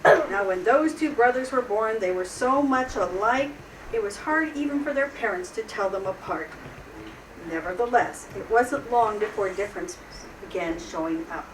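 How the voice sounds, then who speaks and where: A woman playing Mrs. Claus, a grandmotherly storyteller reading a children's storybook with measured expression. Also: medium pitch, expressive, natural voice. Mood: measured, storytelling. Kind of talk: storybook read-aloud to children. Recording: echoey room, distant mic, faint noise.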